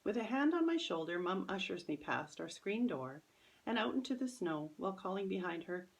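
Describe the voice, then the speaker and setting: A woman's voice: medium pitch, very animated, normal speed, creaky, rough recording. A woman reading a children's story aloud as its author, in scripted first-person narration.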